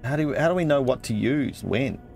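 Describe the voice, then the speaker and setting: Gravelly man muttering through a game. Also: medium pitch, lively intonation, normal speed, darkish tone. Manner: muttering to himself, thinking aloud. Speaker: gaming channel host. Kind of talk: casual let's play commentary. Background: game music.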